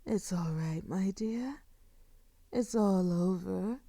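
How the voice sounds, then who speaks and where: A woman playing a seductive, sadistic female vampire in an intimate audio roleplay, commanding and mock-tender, often slipping into a breathy whisper. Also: medium pitch, slow, dark tone, no room sound, studio quality. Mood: mock-tender, domineering.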